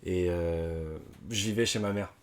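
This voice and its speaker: A man in his twenties, born in Canada and raised in France, telling his story as a podcast guest in a hesitant, unhurried way. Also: low voice, darkish tone. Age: 20s. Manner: hesitant, many fillers and restarts, unhurried. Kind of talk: casual conversation.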